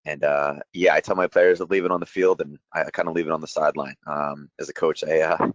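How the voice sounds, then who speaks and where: A middle-aged man presents an informal trading webinar in a low, somewhat strained voice. He moves quickly through his explanations in a chatty way. The sound is dry and close, with a dull lo-fi quality. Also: flat delivery, no echo. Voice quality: voice deeper than usual from shouting. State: strained voice after a day of loud coaching. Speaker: trading educator hosting a market breakdown.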